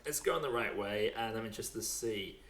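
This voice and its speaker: A man's voice: on the low side, brisk, a bit bright. Man hosting, roomy echoey sound. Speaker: podcast host. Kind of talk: casual film chat on a podcast.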